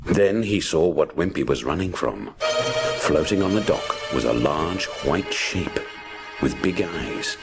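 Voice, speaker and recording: A man's voice: rumbling low, animated, unhurried, creaky, over loud music. A man narrating a children's adventure story dramatically, punching out the action words. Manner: punchy, theatrical sound-effect words.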